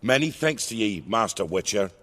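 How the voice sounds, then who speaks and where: Casting: village elder type client in a fantasy game. Fairly low, animated, plain rustic manners. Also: male voice, normal speed. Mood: wary, then grateful. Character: plain-spoken village man paying a monster hunter. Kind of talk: video game voice acting.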